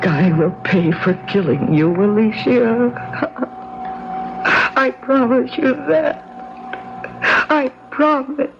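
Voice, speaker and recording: A woman's voice: on the high side, takes it slowly, long pauses, noisy background. An actress playing a grieving, accusing young woman with intense, insistent delivery in an old-time radio mystery. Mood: vowing. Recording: muffled old radio broadcast, music.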